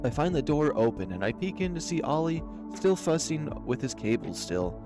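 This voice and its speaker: A man reading a first-person story aloud in an expressive narrating style. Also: a little high, moderate pace. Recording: music under the voice. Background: background music.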